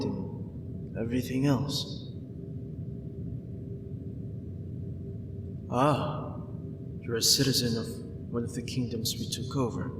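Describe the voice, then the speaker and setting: Man playing a slow-talking dorky dark prince, echoey. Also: mid-range voice, lightly acted. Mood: gentle, apologetic. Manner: grandiose monologue giving way to awkward, hesitant talk. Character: dorky young dark prince, pompous when rehearsing, apologetic and kind. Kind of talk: scripted ASMR roleplay acting. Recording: echoey hall, distant, noisy ambience.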